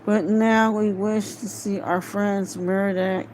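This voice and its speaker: A woman's voice: mid-range voice, level tone, slow pace, a little mellow, low-quality recording. A woman reading a fantasy novel aloud as an amateur, hesitant and often stumbling over words. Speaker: amateur audiobook reader. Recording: home recording with faint background noise.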